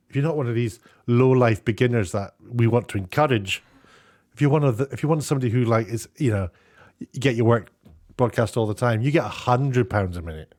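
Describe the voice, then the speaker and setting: A man's voice: medium pitch, darkish tone. Rambling, sarcastic British man. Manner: rambling, chatty, sarcastic asides. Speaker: online video commentator. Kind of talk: casual opinionated commentary, reading out tweets and figures.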